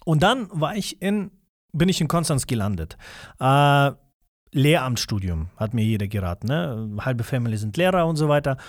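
Ein junger Mann aus Russland mit Russisch als Muttersprache erzählt als Interviewgast locker und weitschweifig, mit vielen Füllwörtern und selbstironisch. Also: male voice, mid-range voice, very animated, moderate pace, no room sound, clean studio sound. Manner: rambling, self-deprecating, many fillers. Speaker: interview guest. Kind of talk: casual interview chat.

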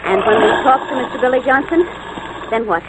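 An actress playing a detective's teasing, quick-witted female sidekick in an old-time radio drama, arch and playful. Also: a little high, natural voice. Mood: arch, curious. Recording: noisy vintage radio recording.

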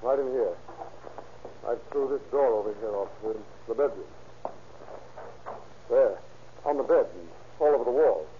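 A man's voice: mid-range voice, normal speed, long pauses, lightly acted. Male actor for a nervous, hesitant neighbor in old-time radio drama, dull noisy recording. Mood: uneasy. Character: hesitant, uneasy male next-door neighbor. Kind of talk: 1930s radio drama acting.

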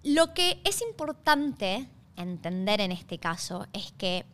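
A woman's voice: mid-range voice, very animated, takes it slowly, a bit bright. A woman with an Argentine accent hosting a podcast, talking conversationally in an explanatory way and checking in with listeners. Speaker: podcast host.

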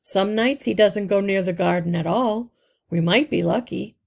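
A steady young rat lays out the plan in a calm, matter-of-fact way, voiced by a woman reading aloud. The voice is gravelly and a little husky, in a storybook reading. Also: medium pitch, moderate pace. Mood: thinking aloud. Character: practical, brave young male rat leader.

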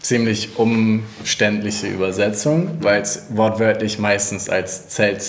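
A man in his twenties from Hamburg, a church co-founder, chatting casually on a podcast, friendly and quick to laugh. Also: fairly low pitch, slight background noise. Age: 20s. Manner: friendly, laughs a lot, asks guiding questions. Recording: roomy echo.

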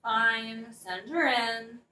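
An actress plays a reluctant queen in a modern satirical audio drama. She is slow and dry, a little high in pitch, with a weary, put-upon air. She sounds off the mic in an echoey room. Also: steady tone, sharp tone, distant mic, full character voice. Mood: weary reluctance. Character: reluctant, weary queen and mother, politely condescending.

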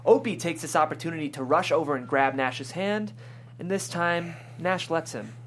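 A woman's voice: sits low, normal speed. A woman reading stage directions as scripted narration at a table read. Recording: clear background hum and noise.

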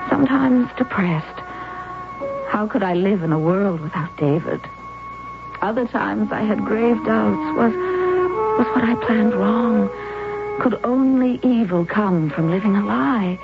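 A woman's voice: medium pitch, expressive, slow, music in the background. An actress playing Susan, a determined young wife planning to fake her death, narrating and speaking with urgent, persuasive intensity. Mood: reflective narration. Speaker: narrator and lead character. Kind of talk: 1970s radio drama acting. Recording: tinny narrow old radio broadcast.

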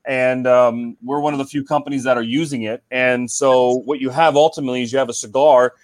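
A relaxed man from Ohio talks through a story at a medium pitch, calling people "man" as he goes. The sound is dry and close, with almost no room around it. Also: a little fast, no room sound. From Cincinnati, Ohio, US. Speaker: cigar company guest. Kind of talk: casual podcast chat.

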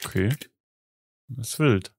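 A man's voice: on the low side, lively intonation, takes it slowly, a little mellow, husky, no echo. A young man co-hosting a casual chat, chiming in with dry, teasing quips. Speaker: podcast co-host.

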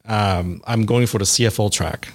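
A man in his forties, talking through his career in a casual interview, halting with fillers and restarts. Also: fairly low pitch. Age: 40s.

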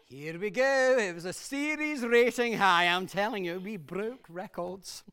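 Brash, blustering Scottish dad. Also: male voice, high voice, animated, unhurried, pushed and bright, clean tone, heavily acted. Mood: boastful. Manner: blustering, irreverent. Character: brash, hard-drinking father of a reality TV family. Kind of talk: comedy drama acting.

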